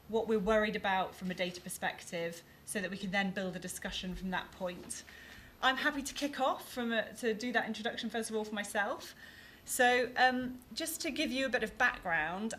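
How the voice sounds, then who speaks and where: A woman's voice: medium pitch, fairly bright tone, slight background noise. A woman on a conference panel playing a data protection officer who opens a meeting in a formal, organised way. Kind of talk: scripted role-play at a conference. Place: conference hall.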